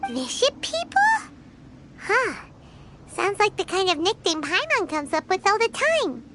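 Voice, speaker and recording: A woman voicing a tiny childlike fairy companion in a fantasy game, squeaky, naive, blunt and excitable. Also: a little slow, a little hiss or hum, exaggerated voice. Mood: puzzled. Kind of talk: fantasy video game voice acting.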